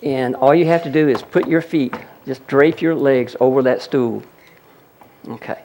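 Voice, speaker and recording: Gravelly man leading a seminar demonstration. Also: mid-range voice, a little slow, a little mellow, slight background noise. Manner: explanatory, audience-addressing, frequent "look at that". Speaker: healing seminar presenter. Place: seminar room with audience.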